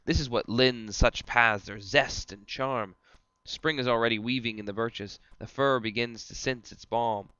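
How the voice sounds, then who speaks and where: Boisterous devil guide for verse drama, male reader: commanding, declamatory, forceful bright voice, close dry sound. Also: mid-range voice, unhurried, smooth, no echo, muffled recording. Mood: hearty, commanding. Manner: theatrical, declamatory. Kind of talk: amateur dramatic reading of verse.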